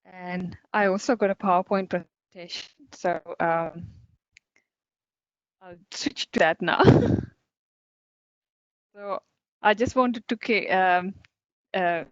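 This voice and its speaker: A chatty woman in her forties with a Sri Lankan accent gives a conference talk over a video call. Her voice sits fairly low for a woman and sounds close and dry. The call audio is dull and lo-fi. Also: slow pace, long pauses, smooth, no room sound. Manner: chatty and upbeat, many fillers and small laughs. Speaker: guest speaker, cybersecurity company director.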